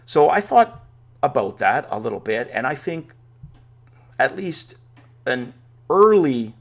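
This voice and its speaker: A man's voice: mid-range voice, sing-song, slow pace. A man whose first language is Dutch, hosting a casual VR news vlog from his home man cave, chatty and enthusiastic, thinking aloud as he goes. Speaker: VR news show host.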